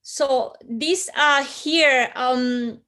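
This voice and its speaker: A woman with a Colombian accent, a guest earnestly telling a personal story with pauses and restarts. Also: high voice, bright, forceful tone.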